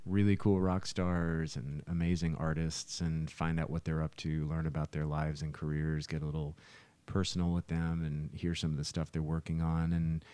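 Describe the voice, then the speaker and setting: A man's voice: a bass voice, little pitch movement, moderate pace, mellow, clear, resonant tone, no room sound. A man co-hosting a casual podcast, explaining things in a rambling way and often repeating his words. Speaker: podcast co-host, musician.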